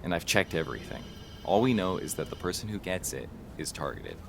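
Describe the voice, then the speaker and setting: An actor playing a level-headed police detective, reasoning matter-of-factly in audio drama dialogue. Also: male voice, deep voice, animated, fairly quick, gravelly, noisy background. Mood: analytical. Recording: noticeable background ambience.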